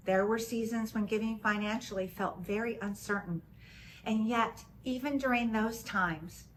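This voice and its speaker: Gentle woman with a slight Texan accent. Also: mid-range voice, slight background noise, rough recording. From Texas. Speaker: church member giving testimony. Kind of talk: prepared personal testimony.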